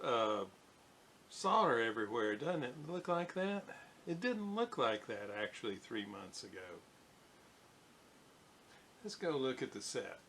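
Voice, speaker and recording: A middle-aged man giving a casual workbench demonstration, thinking aloud in an unhurried way with fillers. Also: mid-range voice, vocal fry, bright, forceful tone, slight background noise. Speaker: radio restoration hobbyist. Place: home electronics workbench.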